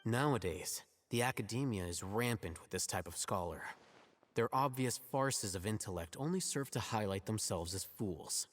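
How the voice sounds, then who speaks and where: Male actor as aloof intellectual scholar, measured, deadpan, long reverb, faint noise. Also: lowish voice, natural voice. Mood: dry, composed. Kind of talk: dramatic game character acting.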